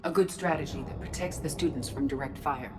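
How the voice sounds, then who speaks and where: An actress playing a polite, composed artificial intelligence in a video game, formal and precise. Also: female voice, very deep voice, a little fast, over music. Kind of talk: video game dialogue acting.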